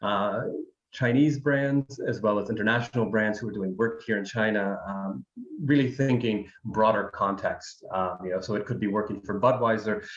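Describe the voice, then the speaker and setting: A man in his thirties from Alabama speaks in a lowish voice. He rambles loosely, full of ums and restarts. The sound is dull and lo-fi. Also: normal speed. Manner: rambling, many ums and repeated words. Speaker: guest, design firm founder. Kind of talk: conversational interview.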